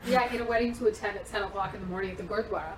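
A young woman comedian telling stories in a casual chat, animated and prone to tangents, acting out what people said. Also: medium pitch, very fast, far from the mic, a crowd in the background. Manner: animated, acts out quotes, goes off on tangents. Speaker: comedian and actress guest. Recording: roomy echo, off-mic, faint noise.

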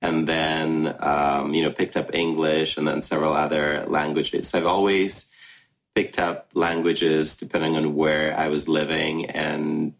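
A man in his thirties from Ghana, with Russian as his first language, speaks in an extremely low voice. He answers interview questions in a reflective, meandering way. He often pauses, restarts and fills gaps with "um.". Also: level tone. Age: 30s. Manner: reflective, many ums and restarts. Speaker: filmmaker.

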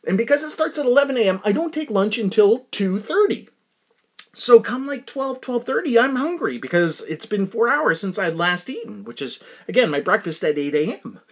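Male podcast host, high-pitched voice, casual rambling solo talk, animated delivery with repeated words for comic emphasis. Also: normal speed.

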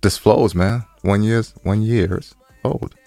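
A man's voice: lowish voice, fairly even intonation, takes it slowly, creaky, dark tone, dry recording. A man co-hosting a podcast with casual, colloquial, joking and playfully defensive banter. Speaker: podcast co-host.

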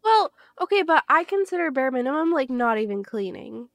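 A woman's voice: high-pitched, big pitch swings, brisk, creaky, a bit bright, no room sound. A woman co-hosting a podcast in casual chat, sounding sniffly and congested from a cold. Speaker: podcast co-host.

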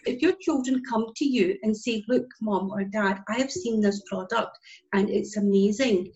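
A woman's voice: medium pitch, moderate pace, a little mellow. Scottish woman, forties, interview guest; earnest, emphatic delivery, muffled lo-fi audio. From Scotland. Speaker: guest, business connector. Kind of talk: casual interview chat. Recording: dull lo-fi audio.

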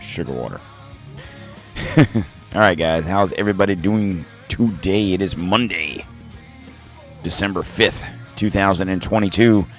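A man hosting a podcast in a casual, rambling monologue, his voice hoarse from throat trouble, reading sponsor spots along the way. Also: sits low, a little slow, pauses often, soft background music. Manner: rambling, self-deprecating, reads sponsor ads. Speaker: podcast host. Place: home podcast studio.

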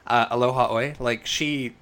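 A man whose voice is rough and worn from days of breathing smoke, talking loosely about movies. He swears freely and slips into quick acted-out quotes. His pitch sits in the middle range. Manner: animated, swearing, acts out quotes. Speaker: podcast co-host. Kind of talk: casual film podcast chat.

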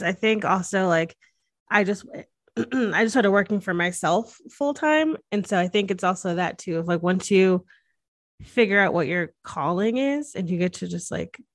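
A woman's voice: medium pitch, no room sound, studio quality. A woman hosting a casual podcast interview, informal and encouraging, with loose, rambling questions. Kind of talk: casual chat.

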